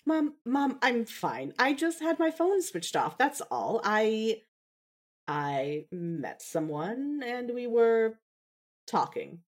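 A woman playing an affectionate, sheepish young newlywed with a measured, slightly formal way of talking in an audio drama. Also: on the high side, very animated, heavily acted. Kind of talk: fan fiction audio drama acting.

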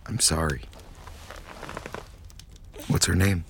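A man's voice: sits low, slow pace, slight background noise. A man playing a grave, conflicted young nobleman in an audio drama, with a restrained, solemn manner. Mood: subdued.

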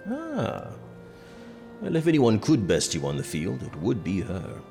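Haughty English prince, very low voice, expressive swings, dry sardonic manner, medieval audio drama, reverberant hall. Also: male voice, gravelly, heavily acted. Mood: wry. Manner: dry, sardonic, measured and pompous. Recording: reverberant hall with faint music behind.

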